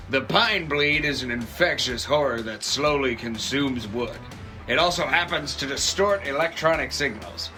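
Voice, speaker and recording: An actor playing an earnest, patriotic defense contractor pitchman, narrating a scripted corporate promo. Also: bright, forceful tone.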